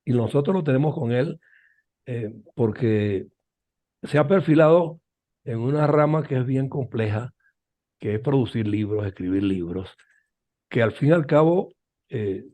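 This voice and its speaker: Mellow-voiced older male journalist host. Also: medium pitch, lively intonation. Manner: measured, formal, with hesitant fillers. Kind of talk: interview.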